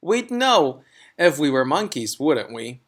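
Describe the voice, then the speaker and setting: A man's voice: on the high side, very animated, takes it slowly, a bit bright, exaggerated voice. A man voicing an insistent, protesting young schoolchild with an emphatic manner.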